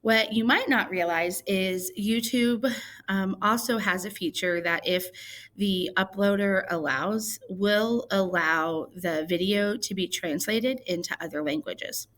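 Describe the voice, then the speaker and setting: A woman teacher from Missouri walking viewers through a software tutorial in a chatty, explaining way with frequent fillers. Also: mid-range voice, unhurried. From Missouri, United States. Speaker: ELL coordinator and teacher. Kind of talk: screen-recorded tutorial.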